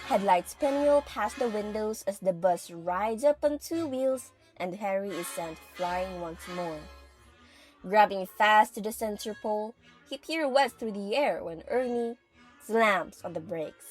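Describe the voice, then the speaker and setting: A woman's voice: mid-range voice, lively intonation, unhurried. A woman giving vivid, dramatic scripted narration for an audio drama. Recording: music under the voice.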